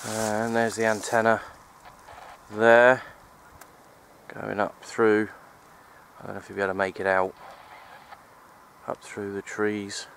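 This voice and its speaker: A man giving casual, halting, unscripted commentary for an outdoor hobby vlog, recorded on a handheld camera. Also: lowish voice, completely flat delivery, gravelly, a bit bright, slight background noise, low-quality recording. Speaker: vlogger, CB radio hobbyist. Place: open hillside under trees, outdoors. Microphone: handheld camera microphone.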